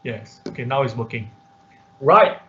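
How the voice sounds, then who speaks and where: A man instructing an online class through a live software demonstration, talking haltingly as he thinks aloud. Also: mid-range voice, animated. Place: indoors, online class at a computer. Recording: muffled online class audio with background noise.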